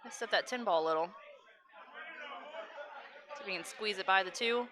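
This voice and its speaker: A woman's voice: mid-range voice, normal speed. A woman giving casual, chatty live commentary on a pool match and answering viewers, laughing easily. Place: loud pool hall with a crowd. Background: crowd chatter.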